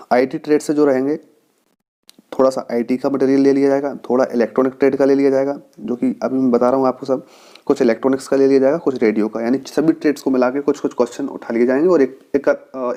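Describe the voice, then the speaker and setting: An Indian man speaks as a teacher giving informal instruction. His voice is mid-pitched and soft-edged, with very little melodic movement. He explains things point by point in an even tone. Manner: instructive, reads out topic lists and explains them. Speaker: teacher, exam-prep channel host. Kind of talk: informal explanatory lecture to students.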